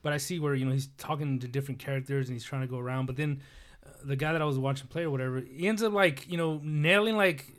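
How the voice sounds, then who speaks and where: A man's voice: mid-range voice, quick pace, a bit bright. A man chatting casually on a podcast, rambling with lots of fillers and laughing at his own jokes. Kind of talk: casual chat.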